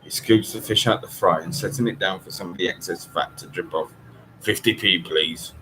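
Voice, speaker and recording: A man reading a story aloud in an expressive audiobook style. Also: sits low, a little fast, noisy background. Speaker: author reading own novel.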